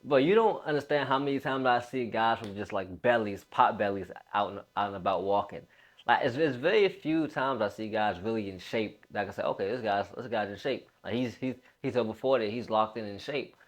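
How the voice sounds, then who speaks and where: A man's voice: lowish voice, a little fast. A man in his late twenties giving a casual motivational talk as a fitness coach, emphatic, repeating phrases and using informal bro slang.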